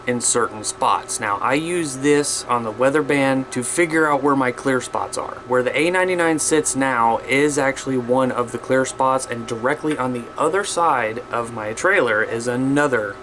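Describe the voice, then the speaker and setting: Male hobby vlogger, American, average pitch, off-the-cuff and folksy, wandering delivery, noticeable noise behind him. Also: moderate pace, few pauses. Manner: rambling, repeats himself, mildly folksy. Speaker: amateur radio hobby vlogger. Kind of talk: casual unscripted vlog talk to viewers. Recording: clear steady background noise.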